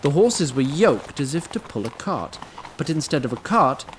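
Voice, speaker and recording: Lively male storyteller. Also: a little high, normal speed, noisy background. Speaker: narrator. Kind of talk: scripted storytelling narration.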